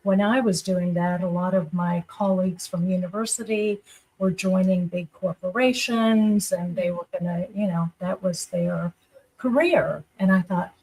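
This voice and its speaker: A woman's voice: fairly low pitch, steady tone, unhurried, darkish tone, slightly rough, rough recording. A woman telling her personal story casually as a guest, in a reflective, conversational way. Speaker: guest panelist.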